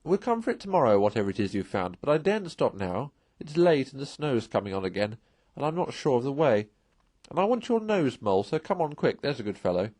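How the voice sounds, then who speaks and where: A man voicing a cheerful, brisk male water rat in a storybook, calling out heartily. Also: mid-range voice, very animated, darkish tone, no echo. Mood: urgent.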